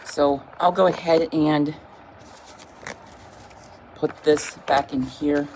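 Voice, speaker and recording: Low-voiced woman, casual and halting. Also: steady tone, noisy background. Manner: informal, halting, vague wording. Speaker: product reviewer. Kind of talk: casual unboxing demonstration.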